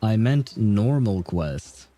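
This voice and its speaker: A man's voice: on the low side, lively intonation, takes it slowly, warm tone. A man hosting a casual gaming livestream, chatting with viewers in a rambling, hesitant way. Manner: hesitant, rambling, chuckling often. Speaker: livestream host.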